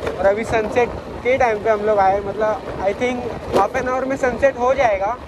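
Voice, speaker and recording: A man's voice: sits high, fairly even intonation, unhurried. A young man chatting casually while riding a motorbike, filling his talk with little fillers, recorded on a phone stuck to his helmet. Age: young adult. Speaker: vlogger. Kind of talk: casual moto vlog commentary. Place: outdoors on a motorbike on dusty roads, then a seaside spot. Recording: loud traffic and wind noise.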